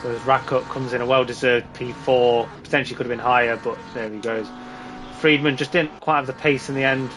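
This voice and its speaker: A man with a slightly hoarse voice giving casual, informal live commentary on a sim race. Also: medium pitch, steady tone, brisk, noisy background.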